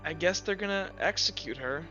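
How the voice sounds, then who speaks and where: A man with an excitable, stammering way of talking, casually narrating a tabletop game as game master. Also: sits high, fairly quick, muffled recording. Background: faint music.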